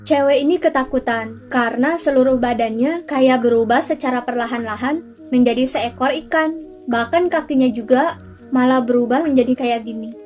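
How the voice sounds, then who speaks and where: High-voiced young woman narrating stories. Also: darkish tone. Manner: chatty, addresses viewers directly, drawn-out emphasis on some words. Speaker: storyteller and channel host. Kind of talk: casual scripted recap narration of spooky animated stories. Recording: voice-over with faint music underneath. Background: faint background music.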